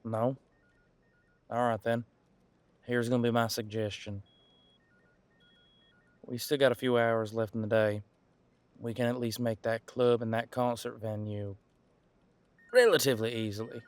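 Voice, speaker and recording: A man playing a centuries-old, easygoing detective in an audio roleplay, talking casually and folksily as he muses aloud with pauses. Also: mid-range voice, fairly even intonation, no echo, natural voice. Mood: brisk, planning. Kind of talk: detective roleplay audio, one side of a conversation.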